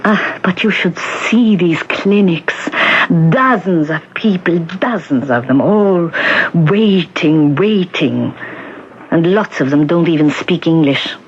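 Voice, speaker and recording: Kindly bustling middle-aged shop lady, old radio play, lowish voice, repeats herself for emphasis, dull hissy recording. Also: animated, slow. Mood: chatty, earnest. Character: kindly, bustling middle-aged woman shopkeeper, motherly and plain-spoken. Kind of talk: old-time radio drama acting. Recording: muffled, hissy 1970s radio broadcast recording.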